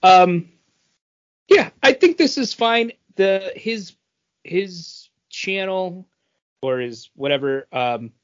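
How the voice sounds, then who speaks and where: A man's voice: high-pitched, lively intonation, slow, clear, resonant tone, lo-fi sound. A man co-hosting a podcast, talking in an informal, conversational way. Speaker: podcast co-host. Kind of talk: casual chat.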